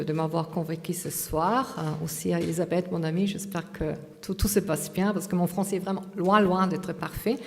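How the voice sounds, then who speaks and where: Woman lecturing in an echoing hall. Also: fairly low pitch. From Austria. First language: German. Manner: hesitant, many fillers and restarts. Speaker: conference speaker.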